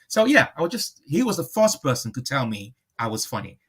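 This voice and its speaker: A man's voice: medium pitch, huge pitch swings, brisk, fairly bright tone, breathy edge. Reflective man talking in an interview. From born in Liverpool, moved to Nigeria at five. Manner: repeats and rephrases phrases as he reflects. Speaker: comedian, interview guest.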